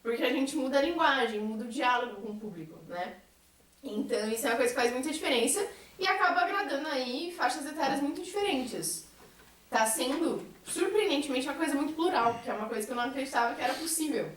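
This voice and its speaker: A woman's voice: high-pitched, lively intonation, quick pace, a little hiss or hum. A woman with a Brazilian accent speaking earnestly and articulately in a reflective group conversation, as a member of a theatre troupe. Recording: echoey room, distant mic.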